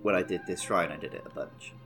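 Low-pitched man in casual gaming chat. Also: quick pace, music in the background. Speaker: podcast co-host.

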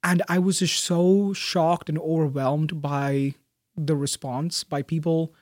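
A young man, a graduating student, answering interview questions in a thoughtful, soft-spoken way with frequent fillers and restarts. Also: on the high side, fairly even intonation, slow pace, no echo, studio quality. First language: Malayalam. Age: young adult.

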